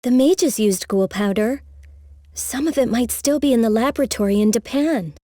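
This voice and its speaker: A woman's voice: a little high, moderate pace, warm tone, no echo, clean studio sound, natural voice. A woman voicing an earnest, resolute young princess in a video game cutscene. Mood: earnest, gentle.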